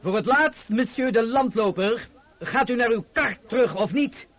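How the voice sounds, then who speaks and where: A haughty, sneering officer. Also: male voice, very high voice, animated, normal speed, full character voice. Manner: haughty, mocking. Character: arrogant young cavalry officer. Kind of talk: radio play acting. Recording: narrow phone-like old recording.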